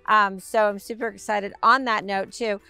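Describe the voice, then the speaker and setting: A woman with a raspy, hoarse voice giving a bubbly, upbeat sales tour of a motorhome. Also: medium pitch, fairly even intonation, normal speed, sharp tone, no echo. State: hoarse from a week of talking. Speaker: RV sales presenter. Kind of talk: enthusiastic walkaround tour.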